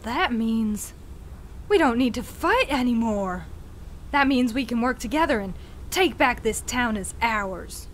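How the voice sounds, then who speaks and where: A woman's voice: a little high, expressive, a little slow, a little hiss or hum. A woman playing an earnest, trusting Wild West posse leader in a theatrical audio drama. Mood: pleading, hopeful. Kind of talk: western audio drama acting. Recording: music playing behind the voice.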